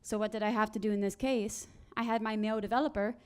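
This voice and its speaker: A woman's voice: medium pitch, quick pace, smooth. A woman speaking on a conference panel, telling work stories and acting out the people in them. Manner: animated storyteller acting out quoted people.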